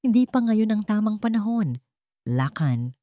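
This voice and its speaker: A woman's voice: mid-range voice, big pitch swings, measured pace, warm tone, no echo, studio quality, voice pushed up. A woman voicing a scheming stepmother in a story, falsely sweet and theatrical. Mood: conspiratorial. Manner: theatrical, insincere, exclamatory.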